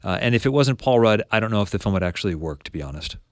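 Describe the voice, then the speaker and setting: A man hosting a podcast of film critics, chatting in an energetic way with stumbling restarts, recorded in a studio. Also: on the low side, rapid-fire, no room sound. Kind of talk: casual chat.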